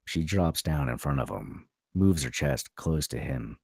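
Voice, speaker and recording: A very low male voice reads screenplay directions with a husky, crackling edge and animated swings in pitch. The sound is dry and close, as a narrator would give it at a table read. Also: unhurried, no echo. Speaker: narrator reading stage directions.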